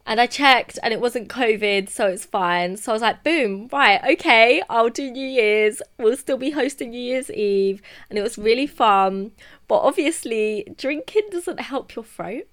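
A woman's voice: on the high side, expressive, a little slow, a bit bright. A young woman, a podcast co-host, chatting casually and telling a story with lots of "like" fillers. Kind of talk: casual chat.

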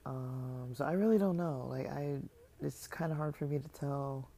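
A woman's voice: very deep voice, moderate pace, dark tone. A woman vlogger talking in a casual, hesitant, rambling way, full of fillers and restarts, and sounding slightly stuffy and tired. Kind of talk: casual vlog monologue.

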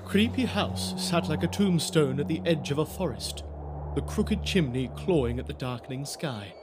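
A man's voice: on the high side, lively intonation, normal speed, background noise. A man narrating a spooky audio drama in a theatrical storybook style. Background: music.

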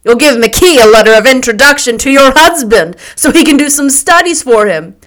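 Woman playing a loud, mocking man. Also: high voice, animated, normal speed, pitched above the performer's own voice. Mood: teasing.

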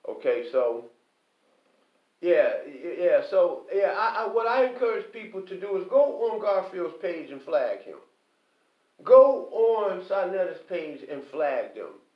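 A man delivering an impassioned, preachy monologue, emphatic and repetitive, often pausing to ask "okay?". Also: high voice, big pitch swings. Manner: preachy, quotes scripture. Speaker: online commentator. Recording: echoey, distant, dull lo-fi.

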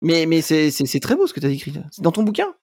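A man's voice: a little high, huge pitch swings, fast talker, a little mellow, no room sound, clean studio sound. A man from France chatting on a podcast in a joking, teasing way. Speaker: guest. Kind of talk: casual podcast chat.

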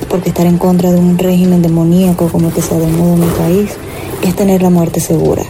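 A woman's voice: fairly low pitch, flat delivery, quick pace, noisy background. A woman from Venezuela with a Maracaibo accent, giving an emphatic personal testimony and repeating her phrases. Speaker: interviewee. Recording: voice over music bed.

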